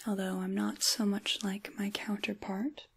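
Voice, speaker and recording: A woman playing a kindly, caring witch in a soft, intimate ASMR tarot roleplay, largely whispered, with a gentle, reassuring manner. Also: medium pitch, little pitch movement, measured pace, gravelly, slightly rough, heavily acted. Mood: fond, soft.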